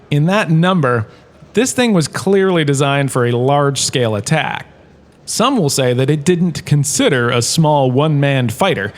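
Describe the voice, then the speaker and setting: A man playing a jaunty, opinionated history professor in a comedic audio drama lecture, chatty and theatrical, with emphatic pauses. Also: on the high side. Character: washed-up actor turned jaunty, opinionated male history professor.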